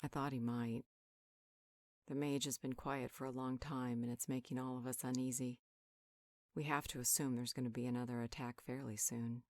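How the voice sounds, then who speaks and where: Woman playing a low-voiced, wise healer. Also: level tone, normal speed, no room sound, studio quality. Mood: earnest, reassuring. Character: calm, wise female healer counseling an upset friend. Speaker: voice actor in roleplay audio. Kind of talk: conversational roleplay monologue, one side of a dialogue.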